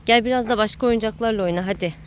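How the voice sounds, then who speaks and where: Casting: woman with a fairly high voice, encouraging and teasing, chatting to a child at play; outdoor home video with some background noise. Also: very fast. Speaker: adult filming and cheering on a child at play. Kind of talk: casual playful running commentary. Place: outdoor playground.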